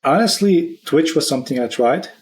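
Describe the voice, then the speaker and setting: A man's voice: a little high, expressive, normal speed. A man hosting a podcast, chatting casually in an encouraging, enthusiastic way. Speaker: podcast host. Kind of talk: casual chat.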